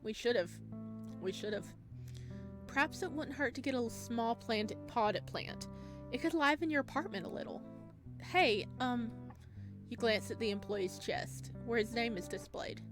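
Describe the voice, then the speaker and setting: Excitable gamer girl, kinda high voice, reads visual novel text out loud and gushes, guitar music going behind her. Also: moderate pace. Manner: gushing, excitable in asides, expressive when reading. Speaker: host of a game playthrough. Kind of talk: let's play commentary with read-aloud narration. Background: plucked guitar game music.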